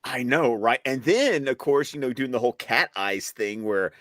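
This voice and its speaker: Male co-host, playful casual commentary, expressive voice that rises and falls a lot. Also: mid-range voice, normal speed, no echo, studio quality. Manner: animated, jokey, playful asides. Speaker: co-host of a watch-along stream.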